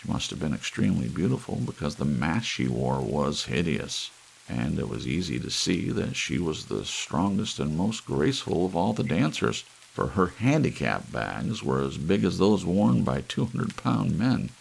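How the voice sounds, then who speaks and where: A man with a deep, heavily creaky voice reads a story in ASMR fashion. His intonation rises and falls expressively. Soft rain and a faint hiss run behind him. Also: very animated, normal speed, poor audio. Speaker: audiobook narrator. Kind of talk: relaxed ASMR story narration.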